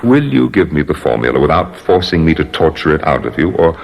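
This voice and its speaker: An actor playing a coldly polite, menacing villain in an old radio drama, speaking in measured, mock-courteous threats. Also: male voice, extremely low pitch, sing-song, vocal fry, exaggerated voice. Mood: impatient. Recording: hollow long-reverb radio drama recording.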